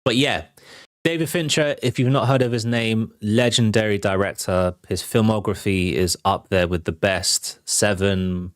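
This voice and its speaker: A man's voice: fairly low pitch, steady tone, moderate pace, no echo, clean studio sound. A man hosting a film and TV news show, chatting to viewers in an informal, enthusiastic, conversational way. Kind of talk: casual entertainment news commentary to viewers.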